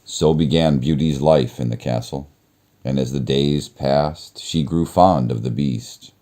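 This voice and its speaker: A man reading a children's story aloud as narrator, in a measured, expressive storytelling manner. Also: a bass voice. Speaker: storybook reader.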